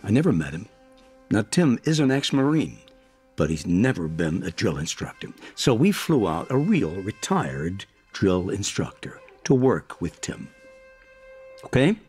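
An actor in a radio drama playing an exacting, domineering American film director who talks deliberately and keeps checking he is followed. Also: on the low side, very animated, darkish tone, breathy edge, faint music behind, full character voice. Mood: measured, probing. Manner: deliberate, probing, punctuates with checking questions. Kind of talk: naturalistic radio drama acting.

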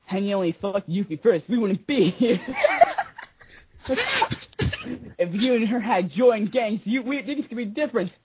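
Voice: mid-range voice, measured pace, long pauses, mellow, slight background noise, close to an everyday voice, rough recording. An amateur fandubber voicing a betrayed, accusing young male soldier in slangy, profane street talk for a comic parody. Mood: regretful, amused. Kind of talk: amateur comedic fandub, improvised over a Skype call.

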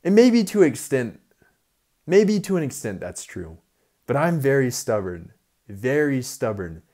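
A man's voice: mid-range voice, very animated, unhurried, mellow. A young man with a nasal voice, talking to the camera in a casual vlog in a small indoor room on an earphone mic. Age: young adult. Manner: upbeat, earnest, talks to camera. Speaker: vlogger. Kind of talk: casual self-improvement vlog. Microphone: earphone headset mic.

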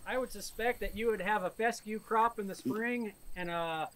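A man explaining research findings in a measured way to a crowd at an outdoor field day on a prairie pasture. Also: high voice, fairly bright tone. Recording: outdoor noise, crickets.

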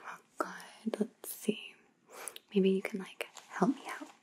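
A woman's voice: medium pitch, level tone, takes it slowly, darkish tone, close to an everyday voice. A young woman playing an aloof, trend-obsessed vampire housemate in an ASMR roleplay, drawling casually and often whispering. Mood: bossy, casual. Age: young adult. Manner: casual valley-girl drawl full of "like".

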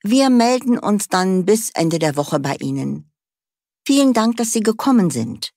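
A woman's voice: mid-range voice, big pitch swings, a little slow, darkish tone, dry recording, clean studio sound. A woman reading the part of a polite, formal job interviewer in a scripted dialogue for language learners.

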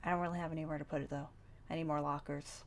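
A woman's voice: low-pitched, level tone, racing, creaky. A woman playing a playful, carefree young survivor in a Minecraft roleplay, talking in a casual, bubbly, impulsive way.

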